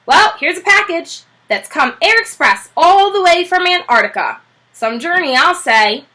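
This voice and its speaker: A woman reading aloud, voicing a brisk, chatty male expressman in a children's storybook. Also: extremely high pitch, lively intonation, sharp tone, pitched above the performer's own voice, full character voice. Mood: breezy. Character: gruff male expressman delivering a box.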